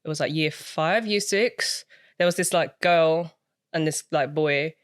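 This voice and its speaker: Soft-spoken young woman telling stories. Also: deep voice, a little slow, no echo, studio quality. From Britain. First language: Cantonese. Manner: soft-spoken, reflective storytelling with many fillers. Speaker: guest. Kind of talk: casual podcast chat.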